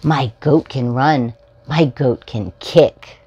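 A woman in her forties reading a children's picture book aloud as a storytime host, in simple, deliberately spaced phrases for preschoolers. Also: extremely low pitch, dark tone, husky, lo-fi sound. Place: small furnished room at home, indoors. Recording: home recording with faint background noise.

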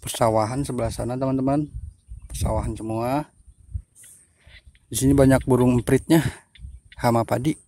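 A man's voice: medium pitch, fairly even intonation, normal speed, long pauses, mellow, a little hiss or hum. A young man from Indonesia, chatting informally to his viewers as a fishing vlogger, outdoors on a handheld phone. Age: young adult. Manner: informal, often addressing viewers as friends. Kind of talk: casual chat to camera. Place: outdoors by a pond and fields.

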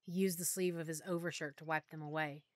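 Low-voiced woman narrating an audiobook. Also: steady tone, fairly quick, dry recording. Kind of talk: first-person audiobook narration.

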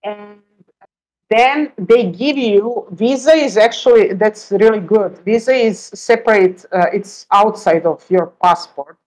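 A woman's voice: mid-range voice, measured pace, a little mellow, poor audio. A middle-aged woman from Serbia with a Serbian accent, telling stories conversationally in non-native English as an interview guest. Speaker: interview guest, travel writer. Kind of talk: conversational storytelling. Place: indoor room, on a video call.

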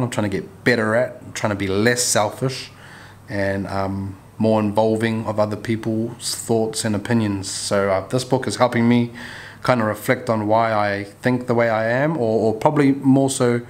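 A man chats casually to camera, laid-back and slangy, often repeating his phrases. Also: on the low side. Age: 30s. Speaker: barber vlogger. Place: small bare barbershop room. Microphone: camera mic at arm's length or nearby. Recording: clear background noise, room ambience.

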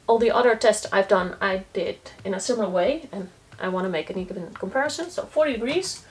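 A woman's voice: fairly high pitch, a little hiss or hum. A young woman demonstrating a laundry test on camera, talking casually and thinking aloud as she checks each item. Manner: matter-of-fact, thinking aloud while handling laundry. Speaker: eco-living vlogger. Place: small laundry corner indoors, sitting on the floor.

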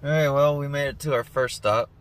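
A man's voice: medium pitch, fairly even intonation, brisk, loud music behind the voice. A young man vlogging casually to the camera about a fishing trip, talking easily to his viewers from a car and then a boat. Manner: easygoing, laid-back, talks to viewers. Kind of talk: casual vlog chat to camera. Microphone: phone held at arm's length. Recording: noisy outdoor phone recording.

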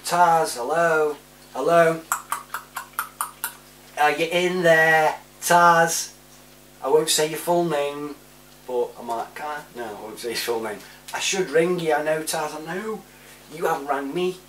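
A man's voice: on the high side, a bit bright. A man in his forties talking casually to camera, rambling in a matey, informal way. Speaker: amateur video presenter. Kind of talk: casual chatty shout-outs to camera. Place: small living room, indoors. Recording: roomy, distant mic with hum.

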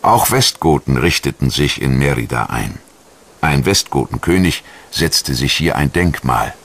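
Very deep-voiced male documentary narrator. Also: lively intonation, unhurried, fairly bright tone. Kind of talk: scripted history documentary narration.

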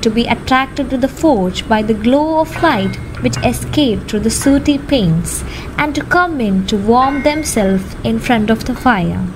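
A young woman reading scripted narration for an amateur radio drama. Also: sits high. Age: young adult. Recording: clearly noisy, ambient sound behind.

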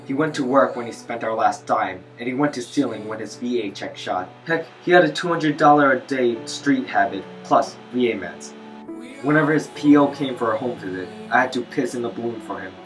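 A man's voice: mid-range voice, a little fast, soft background music, natural voice. A man acting as a haunted, poor young father, narrating his bitter confession as a dramatic monologue. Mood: bleak, bitter. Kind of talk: first-person dramatic monologue narration with music.

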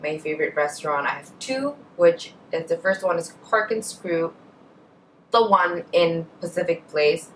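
A woman's voice: fairly low pitch, lively intonation, unhurried, a bit bright, distant mic, a little hiss or hum, low-quality recording. A young Indonesian woman with an Indonesian accent, chatting casually to camera in her bedroom and correcting herself as she goes. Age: young adult. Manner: chatty, self-correcting, trails off into asides. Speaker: beauty vlogger. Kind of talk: casual chat answering a tag. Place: bedroom, indoors. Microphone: camera in front, at a short distance.

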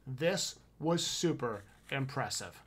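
Bright-voiced enthusiastic Wisconsin man. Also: mid-range voice, expressive, takes it slowly, husky. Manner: enthusiastic, emphatic, slangy. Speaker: podcast co-host reviewing haunted houses. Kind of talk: casual review chat. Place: home room with shelves, indoors. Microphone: headset with boom mic on video call.

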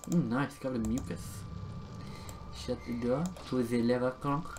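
A young man hosts a casual gaming session in banter full of mock insults and swearing. His mid-pitched voice sits in a clearly noisy room with keyboard clatter. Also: fairly even intonation, unhurried, far from the mic. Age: young adult. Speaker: gaming video host. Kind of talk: casual co-op gameplay commentary. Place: indoor room at a desk.

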